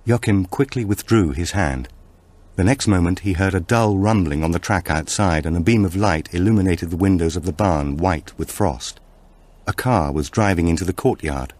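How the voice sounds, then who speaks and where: A man narrating a suspense thriller audiobook in a measured, dramatic manner. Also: low voice, a little mellow, no echo.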